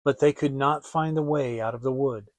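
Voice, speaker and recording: A man narrating a fairy tale as a dramatic audiobook reading, theatrical and expressive. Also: medium pitch, moderate pace, dry recording.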